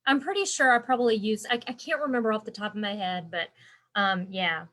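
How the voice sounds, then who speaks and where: A woman's voice: medium pitch, fairly quick, a bit bright. Lady teaching embroidery on a live stream, stuffy nose, rambles on and chats to viewers. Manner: chatty and rambling, answering viewer comments by name. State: congested. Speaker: embroidery and quilting instructor hosting a live stream.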